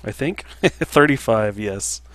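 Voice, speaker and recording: Laid-back guy in his 30s streaming a game, kinda low voice, lots of ums and pauses. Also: no echo. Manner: relaxed, halting, many fillers, answers viewer questions. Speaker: livestreaming gamer and game developer. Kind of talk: casual livestream chat while playing.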